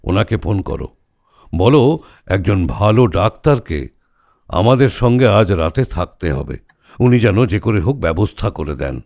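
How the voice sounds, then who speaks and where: A man's voice: lowish voice, very animated, measured pace, vocal fry, darkish tone, full character voice. An actor playing a brusque, sardonic older male detective who gives orders in a wry, clipped way in an audio drama. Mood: brisk, commanding. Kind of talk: audio story acting.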